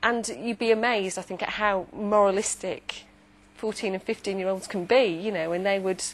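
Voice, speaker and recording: A woman's voice: mid-range voice, steady tone, moderate pace. A woman giving considered opinions in a conversational book discussion as a panelist.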